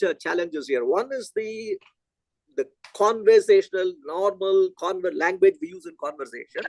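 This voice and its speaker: A middle-aged man from India, a Telugu native, giving a physics lecture in an animated, conversational way and thinking aloud. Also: high voice, no room sound, low-quality recording.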